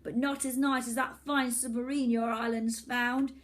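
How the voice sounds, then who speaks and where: A woman voicing a boastful, bullying boy villain who talks loudly and pompously. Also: a little high, flat delivery, normal speed, voice pushed up, natural voice. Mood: gloating. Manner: loud, pompous, shouting.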